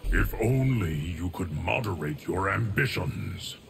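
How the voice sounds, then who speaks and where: Deep, solemn ageless guardian. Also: male voice, lively intonation, soft background music, full character voice. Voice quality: gravelly. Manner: formal, measured, grave. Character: solemn, stoic ageless male guardian of time. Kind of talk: video game voice acting.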